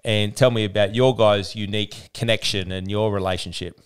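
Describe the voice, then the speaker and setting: A relaxed Australian man in his forties, hosting a podcast on a studio microphone in a small studio. His voice is low-pitched and clear, with an easy, conversational flow. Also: brisk. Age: 40s. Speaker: podcast host. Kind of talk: casual interview. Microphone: studio mic with headphones.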